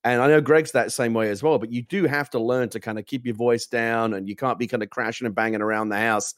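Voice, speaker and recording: A man co-hosting a casual gaming show, loud and enthusiastic, rambling with restarts. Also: mid-range voice, steady tone, quick pace, no room sound, clean studio sound. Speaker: co-host. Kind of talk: casual gaming news chat.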